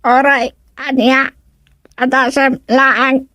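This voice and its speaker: Woman playing a high-voiced, sly magical trickster. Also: flat delivery, slow pace, bright, forceful tone, no room sound, full character voice. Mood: coaxing, smug. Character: sly magical woman who croaks like a frog. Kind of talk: comedic radio drama acting.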